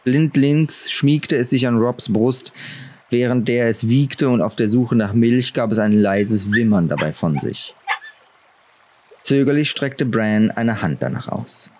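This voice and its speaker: A man's voice: medium pitch, a little slow, a little mellow. A man reading a fantasy novel aloud as a dramatic audiobook narrator.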